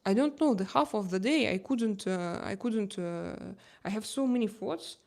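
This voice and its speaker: A woman live streamer with a Russian accent talks casually to her viewers. Her voice sits at a middle pitch. As a native Russian speaker she goes haltingly, often pausing to hunt for the right word. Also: creaky, a little mellow. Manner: halting, searching for words in non-native English.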